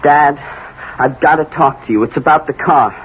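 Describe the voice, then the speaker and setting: Male actor as young American man, guilty and scared, higher-pitched, radio play, thin phone-like vintage sound. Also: close to an everyday voice. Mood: panicked, guilty. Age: young adult. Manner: anxious, halting, confessional. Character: frightened, guilt-ridden young man, a student son. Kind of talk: radio drama acting. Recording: tinny, noisy vintage radio recording.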